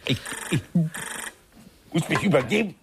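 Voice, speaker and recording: A man's voice: fairly high pitch, expressive, unhurried, gravelly, a little hiss or hum. An actor playing a terrified, pleading victim in a radio crime drama, his voice strained and breaking. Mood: desperate pleading. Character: terrified, pleading male victim forced to swallow pills.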